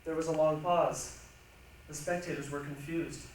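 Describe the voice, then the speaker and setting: A woman's voice: low-pitched, fairly even intonation, moderate pace, darkish tone. Woman reciting in a very echoey hall. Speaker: reader's theatre performer. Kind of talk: dramatic ensemble stage reading. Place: large echoey room, recorded from a distance. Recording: echoey hall, distant mic, faint noise.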